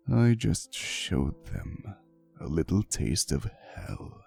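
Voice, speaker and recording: A man voicing a centuries-old, devoted vampire boyfriend in an intimate ASMR roleplay, with a gravelly voice and a soft, theatrical, old-fashioned way of telling his story. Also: heavily acted. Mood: darkly amused. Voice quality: very deep, gravelly.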